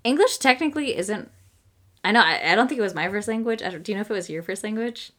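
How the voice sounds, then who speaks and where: A woman's voice: medium pitch, expressive, fast, fairly bright tone. A young woman co-hosting a podcast, chatting casually and rambling with lots of fillers and laughter. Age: young adult. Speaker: podcast co-host. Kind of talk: casual chat.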